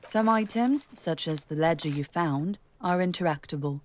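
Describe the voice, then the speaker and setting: A woman's voice: on the low side, lively intonation, normal speed, a little mellow, slight background noise, close to an everyday voice. A woman playing a matter-of-fact tutorial guide in a video game, giving concise scripted instructions. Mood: instructive.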